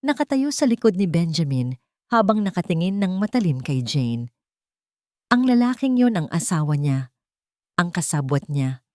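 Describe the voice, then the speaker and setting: Woman, storyteller, dramatic suspenseful narration, low voice, dry close recording. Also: sing-song, a little mellow, no echo. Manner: emotive, suspenseful, dramatic pauses.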